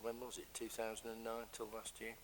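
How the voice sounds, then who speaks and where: A man's voice: on the low side, completely flat delivery, fairly quick. An English man, a long-serving councillor, introducing himself in a matter-of-fact way. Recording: reverberant chamber with faint hiss.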